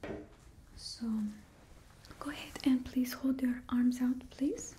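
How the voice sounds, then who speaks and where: A suave, confident supervillain stylist whispers right into the mic in a put-on Russian accent, as a young woman acts her out. The whisper sits a little high but mellow, and she takes her time. She fusses over the fit in an ASMR roleplay, drifting close and then farther from the mic. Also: level tone, measured pace. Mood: soft, fussing. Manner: fussy, attentive, drops small words. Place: indoor room in front of a painted backdrop. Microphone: close ASMR mic, moving near and far.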